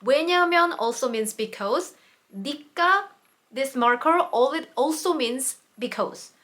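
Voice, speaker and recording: A young woman, a native Korean speaker, explaining grammar in English in a casual online lesson and repeating Korean example words slowly. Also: high voice, expressive, fairly bright tone. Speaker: Korean language teacher.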